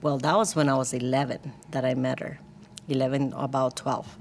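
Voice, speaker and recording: A woman's voice: extremely low pitch, little pitch movement, moderate pace, darkish tone, slight background noise. A woman from Costa Rica with a Costa Rican Spanish accent, telling her personal story in a candid, chatty, lightly joking way as an interview guest. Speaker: guest, church worship team leader.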